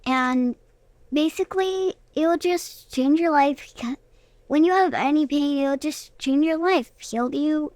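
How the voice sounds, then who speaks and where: A woman's voice: sits high, takes it slowly, darkish tone, no echo. A young girl giving simple, earnest answers as a guest in a casual interview. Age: child. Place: studio.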